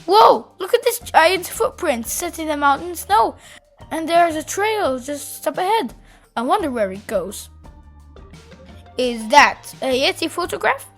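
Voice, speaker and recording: Woman as an eager, high-voiced young yeti hunter. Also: animated, measured pace, faint music behind, heavily acted. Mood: excited. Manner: eager, exclamatory. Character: excited, determined young kid hunting a yeti.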